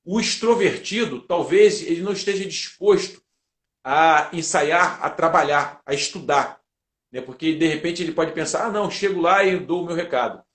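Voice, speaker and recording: A man's voice: fairly high pitch, normal speed, a bit bright. A Brazilian man answering as an interview guest, explaining methodically like a teacher and building his points in lists. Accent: Brazilian Portuguese. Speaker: guest, public speaking teacher and lawyer. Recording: roomy, echoing sound.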